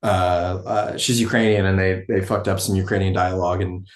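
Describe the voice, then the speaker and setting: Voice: low voice, steady tone, fairly quick. An acting class participant chatting casually, often hesitating and searching for words, in a roomy, echoing recording. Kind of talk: casual chat.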